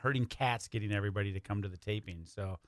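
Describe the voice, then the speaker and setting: A man's voice: on the low side, fast, no echo. A man speaking casually and informally as a radio interview guest, telling stories in a studio. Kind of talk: casual conversational storytelling. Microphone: close studio microphone.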